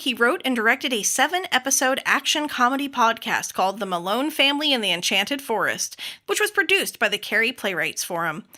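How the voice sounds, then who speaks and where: Bright, animated woman podcast host. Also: on the high side. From United States. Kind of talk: scripted introduction and chatty interview.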